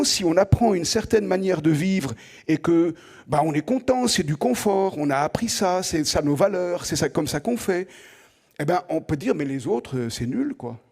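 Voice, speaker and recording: Husky-voiced French professor lecturing students. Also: male voice, on the high side, animated, normal speed, darkish tone. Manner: conversational, asks the audience direct questions, repeats words as he thinks. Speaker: professor giving an opening lecture. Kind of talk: lively spoken lecture to students.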